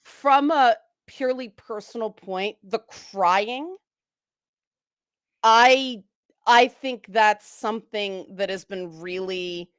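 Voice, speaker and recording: A woman's voice: medium pitch, slow pace, a bit bright, clean tone, no echo, studio quality. A woman speaking as a guest on a casual panel discussion, frank and opinionated.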